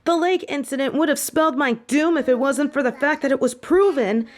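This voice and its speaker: A woman's voice: high-pitched, brisk, voice pushed up, close to an everyday voice. A woman playing a defiant, hot-headed young witch student in a fantasy audio roleplay, arguing bluntly and sarcastically. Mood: indignant.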